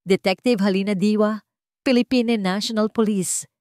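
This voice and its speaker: A woman's voice: mid-range voice, fairly even intonation, normal speed, darkish tone, dry recording, studio quality, voice pushed up, close to an everyday voice. A woman storyteller voicing a brave, authoritative policewoman detective. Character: brave, firm young policewoman detective undercover as maid.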